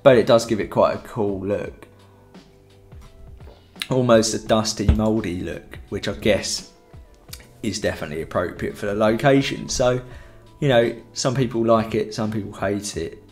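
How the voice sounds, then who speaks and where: Chatty young man, low voice. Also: moderate pace, faint music behind. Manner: rambling, chatty, many fillers. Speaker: photography tutor. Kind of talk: casual live-stream tutorial. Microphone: headset.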